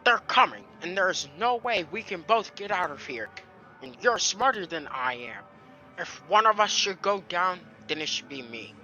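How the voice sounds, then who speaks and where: Man as a high, piercing anxious sidekick. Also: big pitch swings, moderate pace, sharp tone, highs cut off, full character voice. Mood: anxious, pleading. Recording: music behind the voice.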